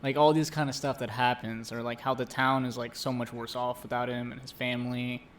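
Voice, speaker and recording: A man's voice: mid-range voice, little pitch movement, a little fast, a little hiss or hum. A man co-hosting a live-streamed chat show, earnestly retelling a film plot in a casual way with many fillers. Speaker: co-host. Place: home streaming setup.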